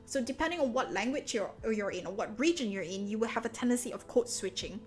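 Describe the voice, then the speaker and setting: Chatty Malaysian woman streamer, slightly high. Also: animated, fast talker, soft background music. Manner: rambling, many fillers and restarts. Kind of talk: casual chat.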